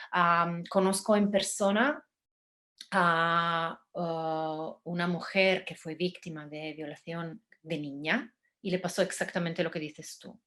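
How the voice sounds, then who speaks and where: A woman from Spain with a Spanish accent, giving calm, gentle advice on a video call, pausing and restarting often. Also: lowish voice. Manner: gentle, halting, with many pauses and restarts. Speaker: guest speaker, survivor. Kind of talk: calm advice in a live talk.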